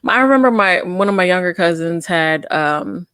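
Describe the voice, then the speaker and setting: A woman co-hosting a casual chat podcast, rambling and animated, jumping into high-pitched voices when quoting herself. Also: moderate pace, smooth, no room sound, studio quality. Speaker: podcast co-host.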